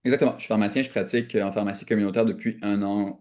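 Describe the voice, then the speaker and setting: A man's voice: on the low side, steady tone, very fast, darkish tone. A young man from Canada with a Quebec French accent, speaking as a podcast guest in a reflective, earnest conversation, restating points for emphasis. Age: young adult.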